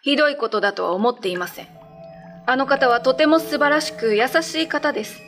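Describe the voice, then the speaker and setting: A woman's voice: high voice, brisk, fairly bright tone, soft background music. A woman playing a young shapeshifting female cat, devoted and secretly savage, with polite, earnest diction in a radio drama. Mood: earnest, defiant.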